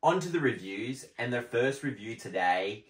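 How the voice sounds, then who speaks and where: A man's voice: medium pitch, lively intonation, normal speed. A man hosting a movie review show, presenting in a casual, conversational style. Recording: echoey room.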